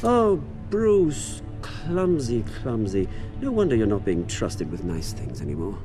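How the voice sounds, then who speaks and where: An actor playing a smug, mocking crook with a theatrical, sarcastic manner in a video game. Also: male voice, medium pitch, huge pitch swings, a little slow, over music. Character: smug mocking British crook posing as executive.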